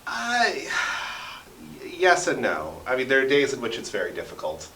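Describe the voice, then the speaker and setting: A man gives a casual, lively interview with big swings in pitch. His voice is bright and forward, and he explains things eagerly, tagging points with "right?". The recording is roomy, with the microphone at a distance. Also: moderate pace, a little hiss or hum. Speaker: interview guest, radio drama writer and sound effects performer. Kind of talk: casual animated interview talk. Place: radio station studio. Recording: roomy studio echo, distant mic.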